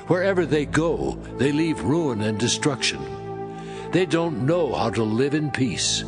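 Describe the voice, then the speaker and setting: A man giving a dramatic scripted reading of scripture verses in a solemn, deliberate way. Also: mid-range voice. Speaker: reader of quoted scripture verses. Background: music under the voice.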